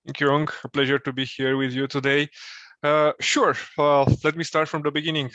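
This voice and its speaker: A man from Romania, telling his career story as an interview guest, thoughtful and pausing often with fillers. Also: on the high side, little pitch movement, pushed and bright, no echo, lo-fi sound. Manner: thoughtful, many filler pauses.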